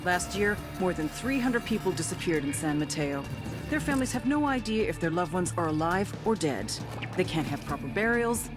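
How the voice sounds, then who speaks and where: An actress playing a grim, outraged intelligence agent in a game cutscene, speaking in a measured, hard-edged way. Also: female voice, lowish voice. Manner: measured, hard-edged, foul-mouthed when angry. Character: grim, outraged intelligence agent woman recounting cartel horrors. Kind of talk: dramatic scripted narration in a game cutscene.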